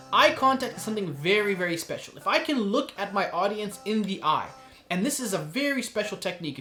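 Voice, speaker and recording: A man's voice: high voice, a bit bright, reverberant. A man hosting a recorded course, explaining performance techniques in an earnest, conversational teaching manner with frequent asides. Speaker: course host and instructor.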